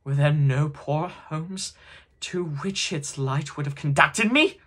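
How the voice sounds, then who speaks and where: Man as a tormented male phantom, storybook drama, solemn declamation, drawn-out wails, sharp forceful tone, heavy with remorse. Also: fairly low pitch, fairly even intonation, creaky, breathy edge, full character voice. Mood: urgent, remorseful. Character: anguished male ghost of a dead businessman, mournful, remorseful.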